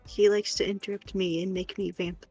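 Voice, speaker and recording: A woman co-hosts a casual livestream in a mid-pitched voice with plenty of creak. Her delivery is wry and playful. Soft music sits behind her. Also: little pitch movement, normal speed. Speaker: streamer's wife and co-host.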